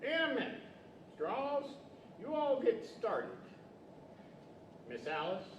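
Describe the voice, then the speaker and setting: Older man playing a high, swooping courtly old wizard. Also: sing-song, slow pace, exaggerated voice. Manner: formal, theatrical. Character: kindly, courtly wizard and wise storybook professor. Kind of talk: 1940s radio-style stage acting. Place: large library event room, standing microphone. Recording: distant, dull, echoey hall with hiss.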